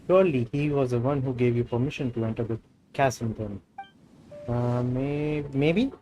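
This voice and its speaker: A man's voice: medium pitch, moderate pace, warm tone, a little hiss or hum. A man with an Indian accent, a native Hindi speaker, doing live gameplay commentary and reading dialogue aloud. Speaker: game streamer.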